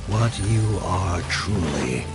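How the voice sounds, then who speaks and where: A man's voice: sits low, animated, slow. A man playing an imposing, ominous tempter in a video game, speaking in a grandiose, formal manner. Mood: solemn, persuasive. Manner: grandiose, archaic phrasing. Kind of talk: scripted video game dialogue. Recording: loud music behind the voice.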